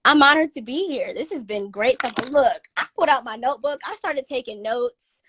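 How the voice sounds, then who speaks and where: A woman's voice: high-pitched, normal speed, a bit bright, slight background noise. A woman from North Carolina with a light Southern accent, talking earnestly and reflectively as a guest on a casual live stream. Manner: earnest, reflective, laughs easily. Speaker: guest, content distributor.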